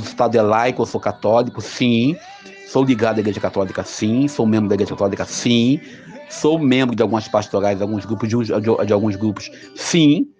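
Brazilian man, lowish voice, hesitant chatty preacher, dull lo-fi audio, faint music under. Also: a little mellow. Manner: conversational, many hesitations and restarts. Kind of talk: informal gospel reflection.

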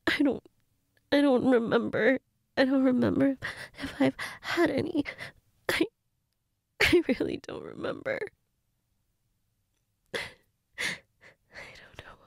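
A woman acting a grieving girlfriend in an intimate audio role-play, crying and fragile, halting and repeating herself, often dropping to a whisper. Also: high-pitched, creaky, no echo, clean studio sound, heavily acted. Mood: tearful, drained. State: crying, sniffly, breathy from tears. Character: grieving girlfriend, tearful and fragile, insisting she's fine.

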